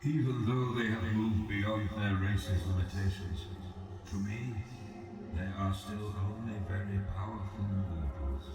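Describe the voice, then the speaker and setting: Man voicing a deep, echoing ancient god. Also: lightly acted. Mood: measured, reflective. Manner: grave, measured, formal, aloof. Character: ancient, solemn, regal dark god recounting his fallen empire. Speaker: quest character in a fantasy video game. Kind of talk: scripted game dialogue, slow formal monologue. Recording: roomy echoing voice, distant, music behind.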